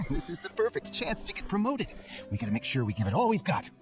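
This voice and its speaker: A casual game guard, talks fast, bouncy pitch, music behind him. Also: male voice, very animated. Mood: eager, joking. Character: jokey, ambitious guard, loyal underneath. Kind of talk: video game bit-part acting.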